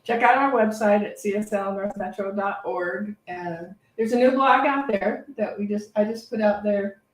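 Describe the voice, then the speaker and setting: A woman's voice: medium pitch, moderate pace. Distant, echoey woman making casual announcements. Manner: casual, self-deprecating. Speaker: reverend hosting a service. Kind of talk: informal spoken announcements. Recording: echoey room, distant mic.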